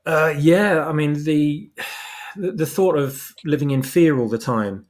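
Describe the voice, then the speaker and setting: A man's voice: on the high side, measured pace, a little mellow. A man giving conversational, reflective commentary as a panelist, explaining his ideas as he goes. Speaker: podcast guest panelist.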